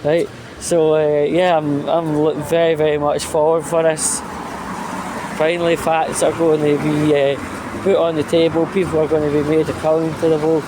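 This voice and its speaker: A man delivering an informal, blunt, sweary rant in a halting, emphatic way, as a vlog host. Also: fairly high pitch, level tone, background noise.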